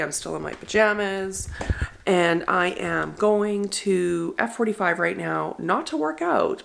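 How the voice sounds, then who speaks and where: A woman's voice: on the low side, gravelly, fairly bright tone. A woman in her thirties vlogging casually, nasal and stuffed up from a head cold. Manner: chatty, rambling explanations. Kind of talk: casual vlog talk.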